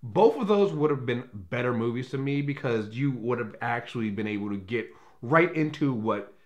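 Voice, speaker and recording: A man's voice: medium pitch, expressive, normal speed. Male film reviewer, casual and unscripted, opinionated, emphatic delivery, mid-range voice, freely profane.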